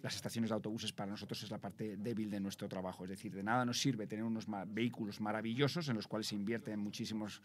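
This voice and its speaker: A man's voice: fairly low pitch, steady tone, quick pace, few pauses. A man with a Spanish accent answering interview questions as an industry representative, explaining in detail and often repeating words as he goes. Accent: Spain. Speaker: bus industry association president, interviewee.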